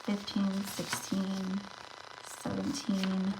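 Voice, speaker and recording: A woman hosting a casual tarot livestream, chatty and rambling, talking straight to her viewers, with her voice going slightly raspy. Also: mid-range voice, completely flat delivery, drawn-out words, noisy background.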